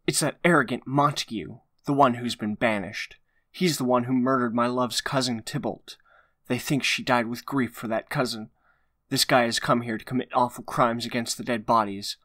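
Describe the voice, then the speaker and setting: A man's voice: mid-range voice, fairly even intonation, normal speed, sharp tone, no room sound, studio quality, close to an everyday voice. A man playing a proud, mournful and righteous young nobleman in a dramatic audio drama, speaking in an earnest, declamatory way. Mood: indignant. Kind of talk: dramatic audio drama acting, modernized Shakespeare.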